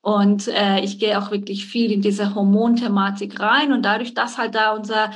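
A woman's voice: mid-range voice, little pitch movement, normal speed. A woman in her forties from Iran, a guest nutrition expert who talks at length and searches for words. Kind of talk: interview answers.

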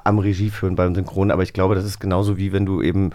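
A man's voice: low-pitched, little pitch movement, rapid-fire, dark tone. A man co-hosting an interview in a casual chatty style, often searching for his words as he talks. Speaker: co-host, interviewer. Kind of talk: casual chat.

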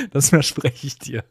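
A man's voice: no room sound, clean studio sound. A man co-hosting a casual, comedic discussion, with a teasing, bantering manner. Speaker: podcast co-host.

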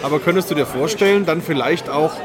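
A man hosting a conversational podcast interview, chatty and quick, often repeating words as he starts a thought. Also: a little high, crowd noise. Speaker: podcast host.

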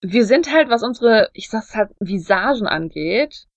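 A woman's voice: a little high, lively intonation, unhurried, no echo, lo-fi sound. A woman in casual podcast conversation, chatty and self-deprecating, telling personal anecdotes. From Germany. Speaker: podcast co-host.

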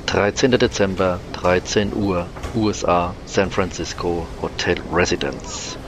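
A man's voice: low voice, a little slow, background noise. A man reading scripted scene-setting narration for a science-fiction audio drama.

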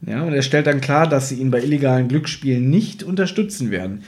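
A man's voice: mid-range voice, animated, fairly quick, a little mellow. A man chatting casually as a podcast host, retelling and critiquing an audio drama in an informal, opinionated, teasing way.

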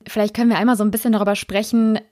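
A woman speaks as a podcast host and interviewer. Her pitch sits in the middle, and the recording is dry, with hardly any room around the voice. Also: little pitch movement, rapid-fire, a little mellow, dry recording.

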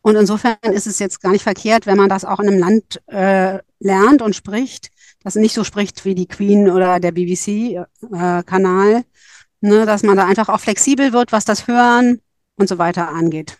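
A woman advising on school exchanges speaks at length and thinks aloud as she explains. Her voice sits at an ordinary pitch and sounds dry and close, with no room echo. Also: steady tone, normal speed, darkish tone. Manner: thoughtful, explains at length with fillers. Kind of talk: informative podcast talk.

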